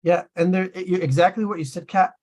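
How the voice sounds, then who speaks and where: A man's voice: high-pitched, steady tone, a little fast, darkish tone. A man hosting a casual group discussion, talking in a loose, rambling way that often trails off. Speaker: discussion host.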